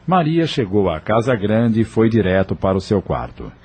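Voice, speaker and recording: A man narrates an audio novel from a script. His voice is on the low side, with faint ambient noise behind it. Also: big pitch swings, moderate pace.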